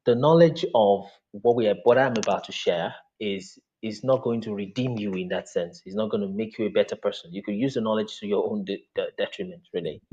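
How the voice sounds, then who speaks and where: A man's voice: lowish voice, warm tone, husky, lo-fi sound. A man whose first language is Yoruba, speaking earnestly as an interview guest over a video call, with frequent fillers.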